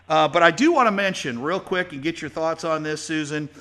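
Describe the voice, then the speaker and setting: A man's voice: on the high side, a little fast, pushed and bright. A man hosting his own radio show, chatting with a guest in a folksy way, full of fillers and restarts. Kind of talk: casual talk-radio interview.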